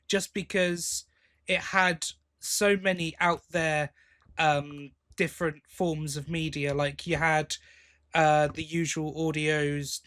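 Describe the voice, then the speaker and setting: A man's voice: a little high, a bit bright. A man co-hosting a podcast in casual chat, giving his opinions informally and bluntly. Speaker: podcast co-host.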